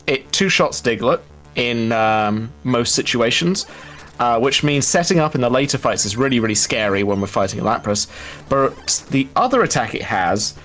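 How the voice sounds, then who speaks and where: A man giving live gameplay commentary, explaining things step by step with halting pauses. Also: medium pitch, a bit bright. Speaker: speedrun commentator. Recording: music behind the voice.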